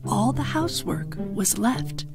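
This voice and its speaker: A woman's voice: mid-range voice, sing-song, unhurried. A woman with an American accent reading a scripted children's story as a storyteller. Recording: music behind the voice.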